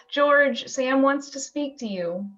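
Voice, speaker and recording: Radio drama: female actor as a devoted young small-town girl, sweet and unhurried, a little muffled on a video call. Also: high-pitched, a bit bright, exaggerated voice. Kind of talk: amateur radio play acting.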